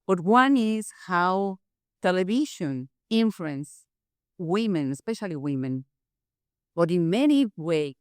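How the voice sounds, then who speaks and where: A woman hosts a live panel in a library and keeps the talk moving with a chatty, enthusiastic manner. She drifts between Spanish and English mid-thought. Her voice is clear and smooth, and it sounds dry and close. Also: fairly low pitch, very animated, slow pace, dry recording. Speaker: panel moderator. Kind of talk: moderating a live panel discussion. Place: library event space.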